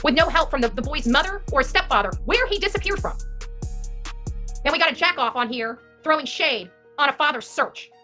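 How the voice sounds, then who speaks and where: A woman with a high, bright voice speaks as an online host in full rant. Her delivery is combative and emphatic, and she repeats phrases to drive them home. Music plays behind her. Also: animated, fairly quick. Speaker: host of a true-crime online channel. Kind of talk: impassioned rant to camera.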